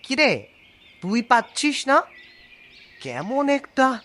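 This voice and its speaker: A woman's voice: high-pitched, very animated, slow, a bit bright, full character voice. A woman voicing a fussy, disgusted villager in a cartoon ghost story. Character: disgusted villager sniffing out a stench.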